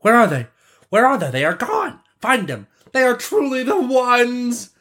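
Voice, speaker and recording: A man voicing excitable, anxious townspeople in a storybook, crying out in urgent exclamations. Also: very high-pitched, measured pace, pitched above the performer's own voice, exaggerated voice. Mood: frantic, anxious.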